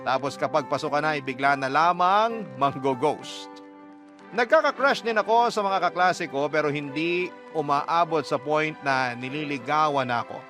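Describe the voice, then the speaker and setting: Higher-voiced Filipino man narrating over music. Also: lively intonation, moderate pace. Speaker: radio drama letter reader. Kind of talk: chatty first-person letter narration.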